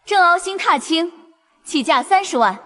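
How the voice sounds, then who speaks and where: High, lively female auctioneer played by an actress. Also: moderate pace, a little hiss or hum, full character voice. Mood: poised, announcing. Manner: formal, presentational. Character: poised, brisk female auctioneer. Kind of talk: dramatized auction patter in an animated web drama.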